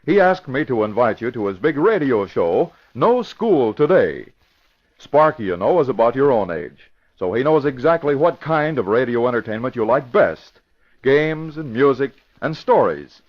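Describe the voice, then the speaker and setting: Swooping vintage radio announcer, dull old recording. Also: male voice, medium pitch, sing-song, normal speed. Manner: emphatic, theatrical delivery. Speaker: announcer and narrator. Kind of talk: 1940s radio announcing, dramatic scripted narration.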